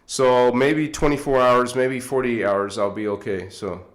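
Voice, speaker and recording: A man's voice: medium pitch, fairly even intonation, measured pace, reverberant. A man hosting a casual livestream, chatty and rambling, with a stuffed-up nose, talking into a phone on a tripod. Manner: rambling, chatty, chuckles often. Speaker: livestream host.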